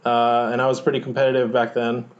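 A young man from Hawaii speaks at a middle pitch as a podcast guest, introducing himself hesitantly with many fillers. His audio sounds dull and lo-fi, as if from a remote call. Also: little pitch movement, fast talker. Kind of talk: casual self-introduction.

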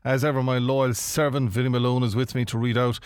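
A man's voice: medium pitch, level tone, a little fast, clean tone, no room sound, natural voice. An Irish man playing a self-important, put-upon late-night love-song radio presenter in a comedy radio play, bossy and dry in his delivery. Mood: on-air patter.

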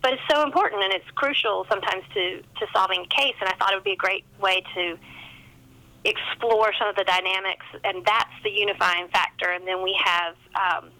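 Bright-voiced woman, chatty interview guest. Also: mid-range voice, a little fast, rough recording. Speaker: author, podcast guest. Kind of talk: casual interview conversation.

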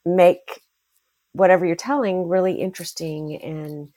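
A woman interviewer speaking encouragingly and haltingly, with many ums and restarts. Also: lowish voice, fairly even intonation, dry recording. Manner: warm, affirming, hesitant with many fillers. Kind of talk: casual conversation.

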